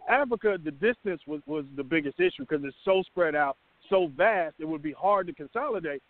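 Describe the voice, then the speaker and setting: A man in a casual group discussion, talking in a relaxed, conversational way. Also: on the high side, a little fast. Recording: dry tinny phone-like line.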